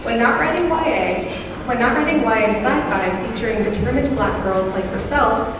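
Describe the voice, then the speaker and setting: Brief: fairly high-voiced woman introducing a panel at a podium, a bit off-mic, long hall reverb. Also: animated, normal speed. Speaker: festival committee member introducing a panel. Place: large hall with wood panelling. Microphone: podium microphone. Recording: echoey hall, heard from a distance, background noise.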